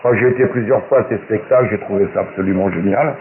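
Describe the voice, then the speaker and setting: A man's voice: lowish voice, quick pace. A man chatting casually over amateur shortwave radio, speaking in a slangy, crude and hesitant way. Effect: shortwave ham radio transmission. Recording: narrow-band shortwave radio with faint static.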